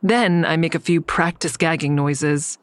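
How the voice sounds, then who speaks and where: A woman's voice: sits low, a little slow, no room sound. An actress playing a guarded, defiant woman in an audio drama, narrating and speaking in a terse, dry, confiding way. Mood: tense, confiding. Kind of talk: first-person audio drama narration and dialogue. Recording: faint music under the voice.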